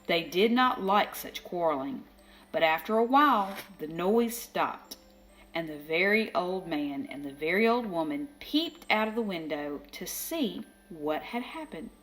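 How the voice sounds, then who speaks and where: A woman reading a children's picture book aloud as storyteller, expressive, with dramatic emphasis. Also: on the low side, unhurried, soft background music, rough recording. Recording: faint background noise.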